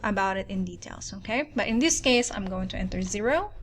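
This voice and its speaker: A woman's voice: medium pitch, lively intonation, fairly quick, a little hiss or hum. A woman with a Filipino accent walking viewers through spreadsheet formulas step by step, often checking in with "okay". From Philippines. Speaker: spreadsheet tutorial host. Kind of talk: screen-recorded software tutorial.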